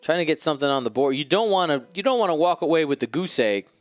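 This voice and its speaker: A man's voice: fairly high pitch, lively intonation, fast talker, dry recording. A man co-hosting a live stream of a tabletop miniatures game, commentating in a chatty way and bantering playfully with viewers. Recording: close dry mic with faint music.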